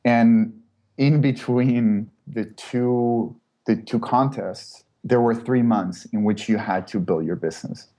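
A man's voice: mid-range voice, slow pace, mellow. A man from Chile, a startup co-founder, answering interview questions in a hesitant, casual way with many fillers and restarts.

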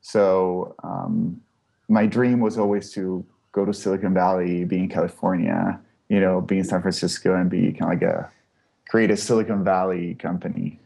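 A man's voice: deep voice, little pitch movement, moderate pace, vocal fry, mellow, slightly rough. A man from Chile, a startup co-founder, answering interview questions in a hesitant, casual way with many fillers and restarts.

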